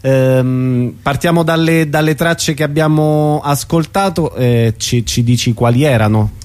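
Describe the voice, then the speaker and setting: Hesitant man, mid-pitched, hosting radio. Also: a little slow, a little mellow. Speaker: radio host, interviewer. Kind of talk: informal radio interview.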